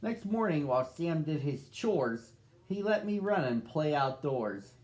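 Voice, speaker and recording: A lonely young dog tells his own storybook tale, voiced by a man. The delivery is slow and earnest, sitting a little high. It sounds like a picture book read aloud to children. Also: big pitch swings, a little mellow. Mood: wistful. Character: sad lonely young male pet-shop dog.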